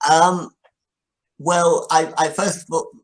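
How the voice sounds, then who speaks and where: An older man, a writer being interviewed, talking casually in a halting, modest way. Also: on the high side, level tone, low-quality recording.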